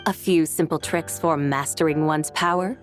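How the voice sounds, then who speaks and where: A woman playing a courteous, wise and ancient mystical lady in a video game, speaking with measured formality. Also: deep voice, fairly even intonation, faint music behind, natural voice. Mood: serene, whimsical. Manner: formal, measured, archaic politeness. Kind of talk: video game character acting.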